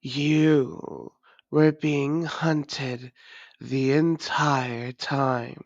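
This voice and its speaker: Casting: actress as ancient female vampire, taunting and theatrical, drawn-out menacing delivery, dry muffled audio roleplay sound. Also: extremely low pitch, fairly even intonation, darkish tone, no room sound, full character voice. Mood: sinister, gloating. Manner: slow, deliberate, theatrical menace. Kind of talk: scripted audio roleplay monologue.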